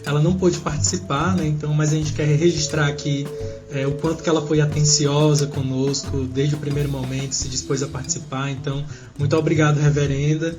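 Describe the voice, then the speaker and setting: A man's voice: fairly high pitch, little pitch movement, a little fast, over music. A man co-hosting a Brazilian podcast, speaking in an earnest, thankful way. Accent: Brazilian Portuguese. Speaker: podcast co-host.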